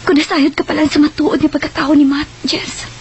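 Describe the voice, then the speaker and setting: An actress playing a guarded, troubled woman confiding secrets in a radio drama, deliberate and pleading. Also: high voice, little pitch movement, darkish tone, close to an everyday voice. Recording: noisy radio drama track.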